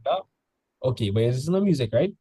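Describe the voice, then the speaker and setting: A man's voice: mid-range voice, animated, moderate pace, dark tone, slightly rough, no echo. A male teacher tutoring students in a casual session, full of "like" fillers, quizzing them with questions as he goes.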